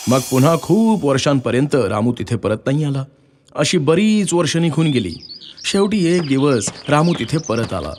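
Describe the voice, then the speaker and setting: A man's voice: mid-range voice, big pitch swings, normal speed, a little mellow, slight background noise. A man narrating a scripted children's story as a storyteller. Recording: music behind the voice.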